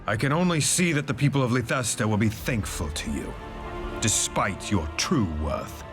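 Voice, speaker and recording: A man's voice: mid-range voice, very animated, normal speed, over music. A man playing a pompous, menacing zealot warrior in a video game, speaking with contempt. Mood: contemptuous. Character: haughty, menacing zealous holy warrior of a city. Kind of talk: video game cutscene acting.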